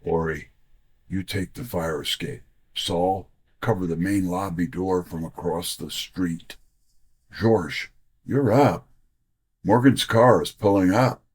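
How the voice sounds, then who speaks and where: An actor playing a wry, wisecracking private detective in a full-cast audio drama, speaking deliberately. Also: male voice, low voice, a little mellow, husky, full character voice. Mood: terse, focused. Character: brisk, wisecracking male private detective, Nero Wolfe's sidekick.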